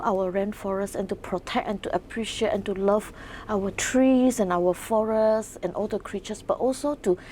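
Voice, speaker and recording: A woman's voice: mid-range voice, a little mellow. A woman who speaks Mandarin as her first language talks about her children's books in an interview. She is an English teacher, and she speaks earnestly with many restarts and "you know"s. Faint room noise sits behind her voice. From Sarawak, Malaysia. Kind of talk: interview guest.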